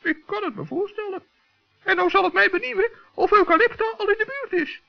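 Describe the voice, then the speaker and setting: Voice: very high voice, brisk, gravelly. An actor playing a little forest gnome in a children's radio play, with an exclamatory, childlike, theatrical way of talking. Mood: crafty, amused. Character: little old forest gnome, kindly, crafty, play-acting. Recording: dull lo-fi old radio recording.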